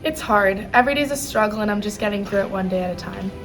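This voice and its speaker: A seventeen-year-old young woman, a high school student, answering interview questions candidly in short plain sentences. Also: quick pace. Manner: candid, brief and plain-spoken. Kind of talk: documentary interview. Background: background music.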